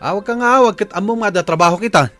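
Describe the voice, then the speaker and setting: A young man playing a busy working son who grumbles and sounds hurried. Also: high-pitched, sharp tone, faint music behind. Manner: complaining and hurried. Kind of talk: radio drama acting.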